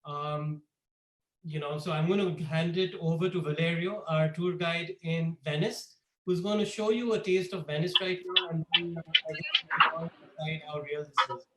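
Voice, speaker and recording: A man co-founder giving a relaxed webinar presentation over a video call, with many fillers. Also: on the high side, moderate pace. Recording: echoey room.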